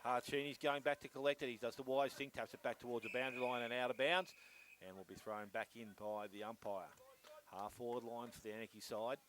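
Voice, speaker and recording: A man's voice: mid-range voice, steady tone, a little fast, a bit bright. A man with an Australian accent giving live play-by-play commentary on an Australian rules football match. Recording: noisy outdoor sports broadcast.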